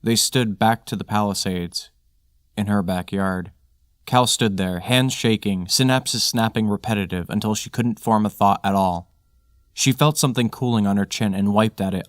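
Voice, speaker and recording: A man's voice: lowish voice, dry recording, clean studio sound. A man reading scripted material as the narrator and host of a fiction podcast.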